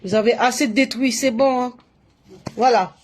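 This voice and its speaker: A woman's voice: fairly high pitch, fairly even intonation, moderate pace, slight background noise. A woman from Latin America talks straight into her phone or webcam on a live stream, in French. Her delivery is emphatic and looping, and she repeats words, restarts her sentences and keeps coming back to the same fillers. She sounds like a spiritual streamer giving her viewers advice. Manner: emphatic, repetitive, uses many fillers and restarts. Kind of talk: casual live-stream monologue.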